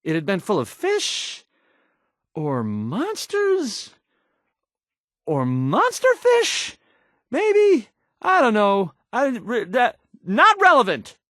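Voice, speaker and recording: Halting, nervous young radio DJ. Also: male voice, extremely high pitch, huge pitch swings, a bit bright, no room sound, clean studio sound, full character voice. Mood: anxious, flustered. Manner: stammering, hesitant, unsure. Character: timid, nervous, awkward young male radio DJ. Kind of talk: video game character acting.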